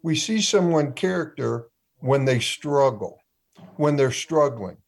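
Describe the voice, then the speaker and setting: A slow-talking man with a husky voice asks drawn-out, probing questions on a sports talk panel. He is a co-host, talking casually with the others. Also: medium pitch, expressive. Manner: poses long probing questions.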